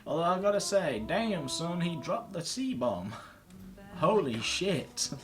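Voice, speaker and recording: A man's voice: a little high, sing-song, takes it slowly, over music. A man giving casual let's play commentary, muttering sweary reactions as he plays. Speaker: gaming video host.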